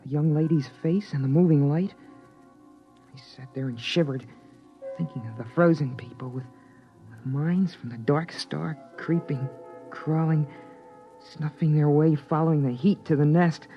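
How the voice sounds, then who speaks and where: A man's voice: fairly high pitch, fairly even intonation, measured pace. A man playing a young son who narrates his family's life, in earnest, wondering 1950s radio drama style. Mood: fearful. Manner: earnest, wide-eyed first-person narration. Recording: dull 1950s radio broadcast with music behind.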